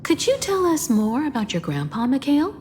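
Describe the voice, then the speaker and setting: A woman playing a polite, measured young female travelling companion in a video game. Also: high voice, wildly swooping pitch, darkish tone. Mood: thoughtful. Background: background music.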